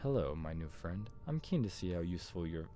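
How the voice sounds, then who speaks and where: A man voicing a calm, menacing villain in a visual novel, smug and curious, with slow measured delivery. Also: low voice, faint music behind. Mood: smugly menacing.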